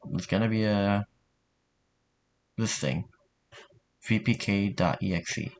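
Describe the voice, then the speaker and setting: A man narrating a software tutorial in an informal, somewhat hesitant way. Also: on the low side, fairly even intonation, slow pace. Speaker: tutorial host.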